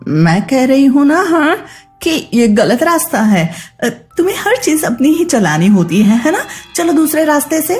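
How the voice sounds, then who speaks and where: A woman playing a bossy, greedy, sharp-tongued young woman in a children's cartoon story, scolding and snappish. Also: high voice, very animated, measured pace, soft background music. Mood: insistent.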